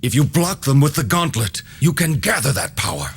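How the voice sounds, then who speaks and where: An actor playing a helpful, knowing male enchanter who explains things in a measured way in a video game cutscene. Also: medium pitch, very animated, a little hiss or hum. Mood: instructive, calm.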